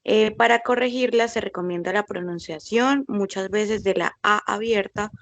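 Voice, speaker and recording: A woman's voice: medium pitch, moderate pace, dry recording. Woman, young adult, student, reading aloud, careful, dry recording. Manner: careful, slightly hesitant reading. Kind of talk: reading a paragraph aloud in class.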